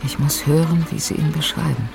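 Music plays clearly behind a distraught woman. Her creaky voice urges herself on in a desperate radio-play monologue. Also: deep voice, fairly quick. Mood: desperate, urgent.

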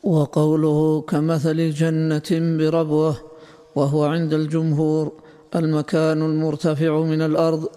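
Smooth, even-toned man reading in sing-song. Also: fairly high pitch. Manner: measured sing-song recitation. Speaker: student reading a commentary text to the teacher. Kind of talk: formal chanted reading aloud of religious text. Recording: long hall reverb.

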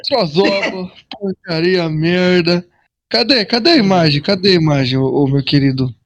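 A man's voice: high-pitched, animated, moderate pace, dry recording. A man with a Brazilian accent joking in a casual group voice call, talking in slangy exclamations. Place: online voice call.

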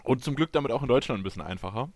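A man from Frankfurt, co-hosting a casual podcast in a measured way with frequent hesitations. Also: mid-range voice, animated, a little mellow, no room sound. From Frankfurt, Germany. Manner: measured, hesitant with ähm fillers. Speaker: podcast co-host. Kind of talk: casual chat.

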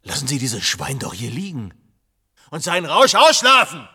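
Raspy, sharp, enraged gentleman. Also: on the high side, wildly swooping pitch, normal speed, heavily acted. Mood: furious, contemptuous. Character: enraged gentleman losing his temper at a party. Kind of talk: radio drama acting.

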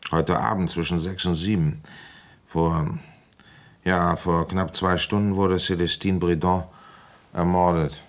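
An actor playing a dogged village police inspector in a German radio play, questioning in a terse, methodical way. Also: male voice, low voice, level tone, a little slow, slight background noise. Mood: grave.

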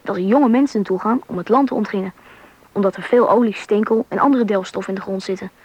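A woman's voice: mid-range voice, fast, a little mellow, slightly rough, lightly acted, poor audio. A woman playing a curious, anxious schoolboy who narrates and acts in a Dutch radio play. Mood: narrating, wondering.

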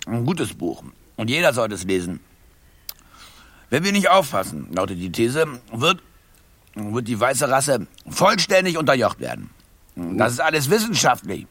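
Husky, blustering arrogant husband. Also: male voice, mid-range voice, huge pitch swings, measured pace, fairly bright tone, no echo, heavily acted. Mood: pompous, alarmed. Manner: blustering, lecturing, curt. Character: domineering, arrogant, hulking rich husband. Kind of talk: German radio play acting.

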